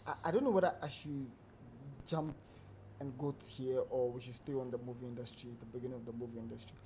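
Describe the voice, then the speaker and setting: A man's voice: mid-range voice, steady tone, quick pace, dark tone, slight background noise. A Ghanaian man hosting a podcast, interviewing a guest with playful, teasing banter. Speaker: podcast host. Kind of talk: casual interview chat.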